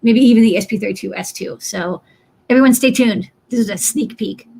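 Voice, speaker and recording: A woman's voice: medium pitch, a little fast, gravelly, mellow, slightly rough. A woman hosting a casual chat about electronics, with an encouraging, enthusiastic manner. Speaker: show host.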